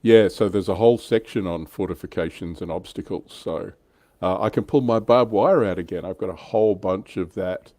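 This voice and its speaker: A man's voice: deep voice, normal speed, warm tone, no room sound. A man hosting a hobby livestream in a casual, chatty way, lightly teasing and prompting others. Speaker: host of a tabletop wargaming livestream.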